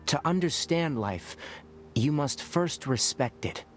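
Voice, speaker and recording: An actor voicing a calm young scholarly male forest ranger in a video game, speaking in a measured, thoughtful, gently lecturing way. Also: on the high side, noisy background. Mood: earnest.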